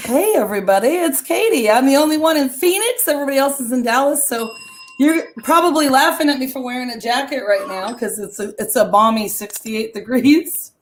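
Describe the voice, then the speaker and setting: A woman with a hoarse, raspy voice, co-hosting a casual online talk stream, often apologetic and laughing. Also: sits high, lively intonation, brisk, slight background noise. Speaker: co-host.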